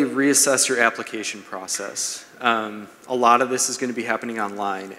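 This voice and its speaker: A man's voice rings through a large, reverberant room. He speaks as a seminar presenter, at a middling pitch. His delivery is conversational and explanatory, laying out practical points for listeners. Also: pushed and bright. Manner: conversational, explanatory, checks in with "right?". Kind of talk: business seminar talk to an audience. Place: large brick-walled event room, indoors. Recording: echoey hall, long reverb.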